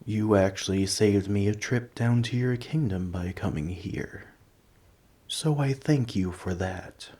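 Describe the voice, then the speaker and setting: A man playing a large, ancient, nerdy dragon in an ASMR roleplay, polite and earnest but a little huffy, with a soft voice. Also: on the low side, unhurried, slightly rough. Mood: friendly, earnest. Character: large, ancient, nerdy gentle male dragon, politely indignant coin collector. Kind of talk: ASMR roleplay audio drama.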